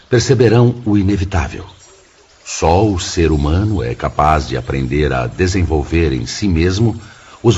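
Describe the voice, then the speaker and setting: An actor playing a fatherly male spirit mentor, grave and instructive, with a raspy voice and Brazilian accent in a radio drama. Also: sits low, darkish tone, highs cut off, exaggerated voice. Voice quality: husky, raspy.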